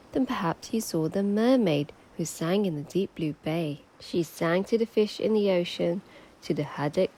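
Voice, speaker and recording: Woman, slightly low voice, expressive sing-song storyteller, children's read-aloud, background music. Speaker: teacher reading stories for children.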